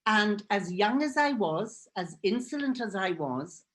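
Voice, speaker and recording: A woman whose first language is Hebrew tells a personal story to an audience in an earnest, emphatic way. Her pitch sits at an ordinary middle level, and she often repeats phrases to drive a point home. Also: animated, a little slow. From Israel. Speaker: guest speaker.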